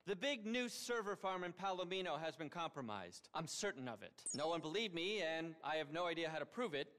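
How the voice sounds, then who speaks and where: An actor playing an eccentric, egotistical, paranoid tech billionaire with a pompous keynote-style delivery in a video game. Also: male voice, on the high side, expressive, a little fast, a bit bright. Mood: grandiose. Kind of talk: video game cutscene acting. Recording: long reverb of a cavernous hall.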